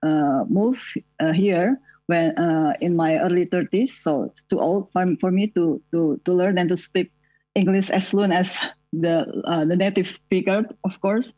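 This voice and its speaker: A middle-aged woman from Indonesia speaks English as a second language, hesitant and full of "uh" pauses. Her voice is fairly low and completely dry. The audio is dull, lo-fi video call sound. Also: warm tone, dry recording. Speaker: journalist.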